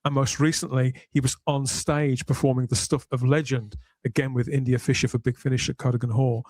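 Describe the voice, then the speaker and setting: A man hosting a podcast with conversational commentary, speaking in articulate, measured, storytelling phrases. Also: mid-range voice, flat delivery, a little mellow, no echo. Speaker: podcast host.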